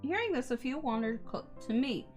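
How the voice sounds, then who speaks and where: A woman streams herself reading a visual novel over faint music. Her voice is fairly high and swings widely in pitch. She moves between narration and casual, cheeky, swearing chat. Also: animated, a little fast. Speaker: livestreamer, VTuber. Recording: music behind the voice.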